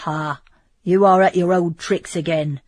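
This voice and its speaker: A woman voicing a gruff, surly male soldier in an audiobook reading. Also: medium pitch, unhurried, no room sound, natural voice. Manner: surly, rough.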